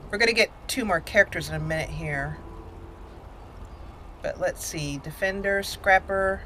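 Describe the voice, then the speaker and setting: A woman's voice: fairly low pitch, bright, forceful tone, a little hiss or hum. A woman giving casual let's play commentary, chatty and thinking aloud as she plays. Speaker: gamer narrating a video game roleplay playthrough. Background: game music behind the voice.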